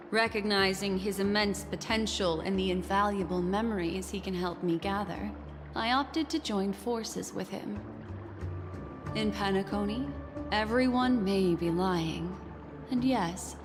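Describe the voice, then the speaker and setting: An actress playing a poised, mysterious, soothing confidante in a video game, with a measured, coy and formal delivery. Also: female voice, mid-range voice, close to an everyday voice. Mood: earnest, soothing. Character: poised, mysterious woman, soothing fortune-teller-like confidante and schemer. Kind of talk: scripted video game voice acting. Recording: long reverb, music behind. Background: game music.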